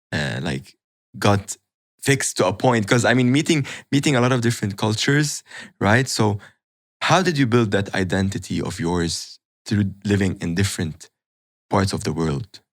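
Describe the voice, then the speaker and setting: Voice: sits low, unhurried. A podcast host asking an interview guest loose, rambling questions full of fillers and restarts.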